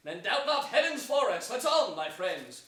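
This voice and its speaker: An actor playing a noble, hopeful young rebel earl, declaiming earnestly in a Shakespearean stage reading. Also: male voice, sits high, very animated, moderate pace, sharp tone, heavily acted. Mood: rousing. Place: theater stage before an audience, indoors. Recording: echoey theater, distant microphone.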